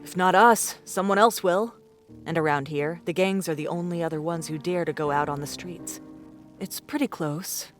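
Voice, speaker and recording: A bold woman survivor in an audiobook drama, acted by a woman. Her voice sits fairly low, and she speaks bluntly and assertively, like someone used to deciding for the group. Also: normal speed, faint music behind, natural voice. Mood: bold, urgent. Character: tall, bold, decisive young woman survivor.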